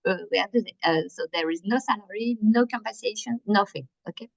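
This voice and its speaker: A woman's voice: medium pitch, normal speed, vocal fry. Hesitant French woman, muffled call audio. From France. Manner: hesitant, many fillers and restarts. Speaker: workshop presenter. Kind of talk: online conference presentation. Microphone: video call.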